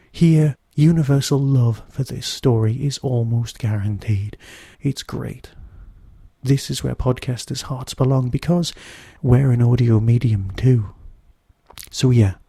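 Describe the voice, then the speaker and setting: A man delivering a solo podcast review in a wry, enthusiastic way, with emphatic pauses. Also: mid-range voice, dark tone, husky, no echo.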